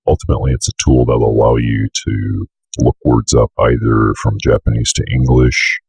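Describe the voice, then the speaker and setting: A young man giving a casual, unscripted software walkthrough, offhandedly muttering to himself as he works, with a gravelly, raspy voice. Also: very deep voice, no room sound. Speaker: tutorial host.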